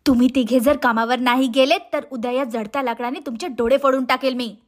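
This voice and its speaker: Cruel cartoon aunt, played by a female narrator: high voice, harsh, scolding, short-tempered. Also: fairly even intonation, quick pace, lightly acted. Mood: threatening, angry. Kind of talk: cartoon moral story voice acting.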